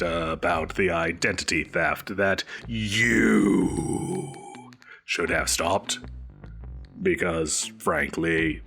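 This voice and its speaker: A man's voice: sits low, a bit bright, heavily acted. An actor playing a pompous, flustered supervillain boss in an audio roleplay, theatrical and haughty, stammering when he gets defensive. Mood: indignant, defensive. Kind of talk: scripted audio roleplay acting.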